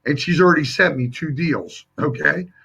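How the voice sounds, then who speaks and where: Hoarse male sales coach, husky. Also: on the high side, normal speed, pushed and bright. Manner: emphatic, coaching, addresses viewers directly. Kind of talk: live-stream sales training. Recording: dull lo-fi stream audio.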